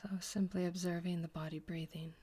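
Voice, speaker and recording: A woman guides a meditation in a low, breathy half-whisper. Her voice stays even and unhurried, with little rise or fall. She repeats her phrases slowly and gently. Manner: slow, soft, gentle repetition.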